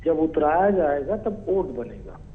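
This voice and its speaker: A man's voice: a little high, big pitch swings, measured pace. A man speaking by phone as a political spokesman, critical and oratorical, repeating phrases for emphasis. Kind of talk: live news interview by phone. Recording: tinny phone line with noise.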